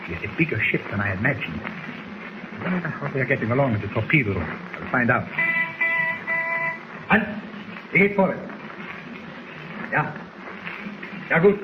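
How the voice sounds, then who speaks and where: An actor playing a cold, gloating German villain in 1940s radio drama style. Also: male voice, medium pitch, sing-song, moderate pace, pauses often, loud music behind the voice, lightly acted. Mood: excited, gloating. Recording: noisy muffled 1940s radio recording.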